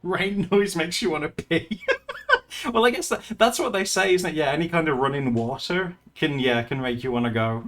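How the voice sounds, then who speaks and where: Giggly, lively-voiced male streamer. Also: fairly high pitch, moderate pace, faint music behind. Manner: chatty and giggly, with frequent laughs and restarts. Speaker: livestreamer. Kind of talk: casual livestream chat with viewers while drawing.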